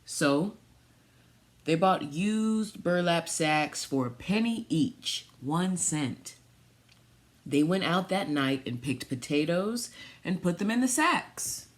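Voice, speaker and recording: Female storytime reader, fairly low voice, very unhurried, reads picture-book text and points things out to young listeners. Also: animated. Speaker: storytime reader for a children's theatre. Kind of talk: read-aloud picture book storytelling with asides.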